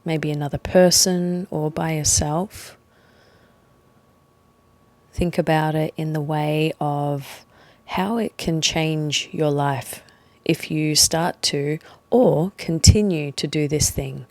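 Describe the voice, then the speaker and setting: A woman with a low voice leads a guided meditation. She speaks very gently and slowly, leaving space between short phrases. The sound is close and dry, with no room echo. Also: steady tone. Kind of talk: guided meditation, slow soothing instruction.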